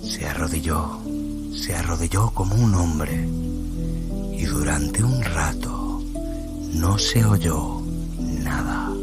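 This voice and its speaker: A man narrating a literary radio story in a solemn, deliberate, dramatic way. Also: a bass voice. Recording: loud music under the voice.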